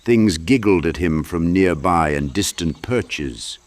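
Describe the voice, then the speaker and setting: Deep-voiced male audiobook narrator. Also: animated, slow. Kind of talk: dramatic scripted narration of dark fantasy.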